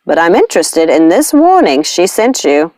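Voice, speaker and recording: A woman voicing a gracious, firm nanny witch in a storybook, composed, measured and gently chiding. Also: lowish voice, sing-song, no echo. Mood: impatient.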